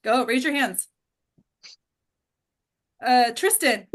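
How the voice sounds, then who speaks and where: A woman's voice: a little high, animated, very slow. A woman hosting a casual question session, calling on people informally. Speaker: host moderating student questions.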